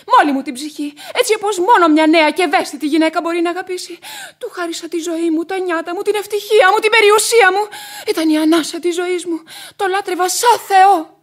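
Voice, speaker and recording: An actress in a radio play playing a proud, easily offended young widow with a haughty, declamatory delivery. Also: female voice, very high-pitched, a bit bright. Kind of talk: theatrical radio play acting.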